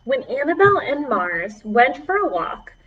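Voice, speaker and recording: High-voiced, unhurried woman at storytime. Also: very animated, fairly bright tone, muffled recording. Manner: encouraging, addressing small children. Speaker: children's librarian. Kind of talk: preschool storytime, reading aloud with asides.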